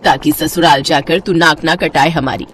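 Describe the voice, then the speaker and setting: A woman's voice: low voice, fairly even intonation, normal speed, fairly bright tone, slight background noise. A woman voicing a practical middle-aged mother in a storybook tale, chiding and nagging but fond. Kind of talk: animated Hindi moral story dialogue.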